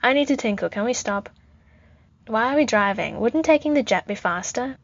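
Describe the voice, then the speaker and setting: A woman's voice: on the high side, animated, moderate pace, dry recording, muffled recording. A woman voicing a small, frightened yet demanding boy in a story, with pleading, childish questioning. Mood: pestering, curious.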